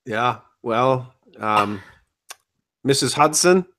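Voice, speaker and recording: A man acts out an avuncular gentleman detective for an old-time radio comedy. His delivery is genial and indulgent, with a lively pitch that swoops up and down. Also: extremely slow.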